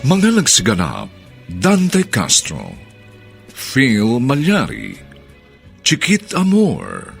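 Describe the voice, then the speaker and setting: A man's voice: fairly low pitch, gravelly, a bit bright. A man with a Filipino accent giving dramatic scripted narration as a radio drama announcer. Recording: music bed under voice. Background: music.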